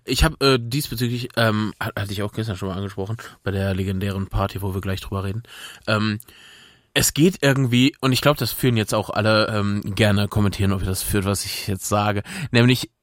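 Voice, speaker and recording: A young man from western Germany chatting casually as a podcast co-host, in a halting, hesitant way with stammered starts. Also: on the low side, dry recording, clean studio sound. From Germany (North Rhine-Westphalia). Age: young adult. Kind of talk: casual chat.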